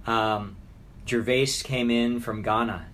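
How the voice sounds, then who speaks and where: A man co-hosting a casual conversational recap, haltingly searching for his words. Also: medium pitch, steady tone, a bit bright, a little hiss or hum. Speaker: podcast co-host, charity staff.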